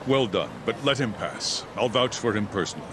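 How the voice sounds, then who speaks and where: A man playing a scheming chief prosecutor in a video game, formal, deliberate and smugly polite. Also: on the low side, expressive, noisy background, close to an everyday voice. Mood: courteous. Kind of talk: English video game cutscene acting.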